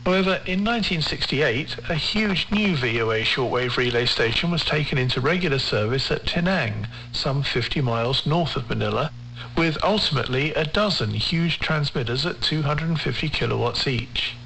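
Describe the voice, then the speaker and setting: A male radio presenter with a mid-pitched voice narrates a scripted feature. He reads in a measured, formal manner with careful enunciation. The shortwave recording carries light noise and hum. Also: animated, creaky, bright, forceful tone, rough recording.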